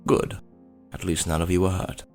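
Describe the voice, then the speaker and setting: A man playing a tired, gentle father in a fantasy audio drama, speaking slowly and patiently with sighs. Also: deep voice, flat delivery, faint music behind, close to an everyday voice. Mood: gently stern. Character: tired, gentle father scolding his young son.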